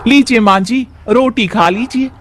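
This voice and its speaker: A man's voice: very high-pitched, a little slow, pitched above the performer's own voice. A man voicing a determined young village daughter-in-law, earnest, respectful and dutiful. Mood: reassuring.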